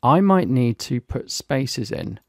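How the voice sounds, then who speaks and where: British man, gravelly, dry close mic, walk-through tutorial read. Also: mid-range voice, animated, slow, dry recording. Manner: plain, explanatory, lightly chatty. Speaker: software reviewer. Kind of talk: screen-recorded software tutorial.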